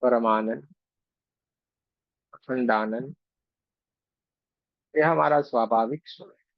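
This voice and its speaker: A man guiding a meditation in a gentle, unhurried, devotional way, giving instructions phrase by phrase with long pauses. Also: medium pitch, gravelly, no room sound, lo-fi sound. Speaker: meditation guide and Reiki teacher. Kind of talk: guided meditation, slow spoken instruction with pauses.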